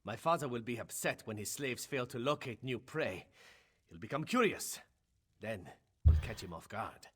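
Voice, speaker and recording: A husky, scheming vampire rebel leader. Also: male voice, medium pitch, lively intonation, fairly bright tone. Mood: calm, calculating. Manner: measured, commanding, scheming. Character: male vampire rebel leader, calculating foe of his ancient father. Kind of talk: video game voice acting.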